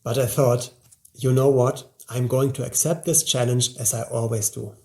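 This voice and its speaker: Creaky, mellow man presenting a promotional pitch. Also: level tone, normal speed. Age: 40s. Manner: motivational, direct address to the listener. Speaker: presenter promoting his language course. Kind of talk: scripted promotional narration.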